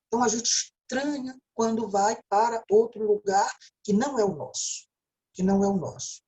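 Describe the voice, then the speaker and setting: Woman, Brazilian Portuguese accent, teacher, mellow voice, explanatory lecture. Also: on the high side, moderate pace. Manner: explanatory, often checks in with a tag question. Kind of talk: recorded video lecture.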